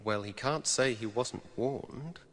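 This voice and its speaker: An actor playing an earnest, wry young apprentice hero in a video game. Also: male voice, medium pitch, slow pace, close to an everyday voice. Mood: wry unease. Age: young adult.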